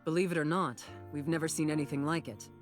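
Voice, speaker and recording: A woman's voice: low voice, fairly even intonation, fast, music in the background, lightly acted. An actress playing a composed, businesslike woman captain of monster hunters in a game. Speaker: commanding officer of a hunter team. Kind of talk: scripted game voice acting.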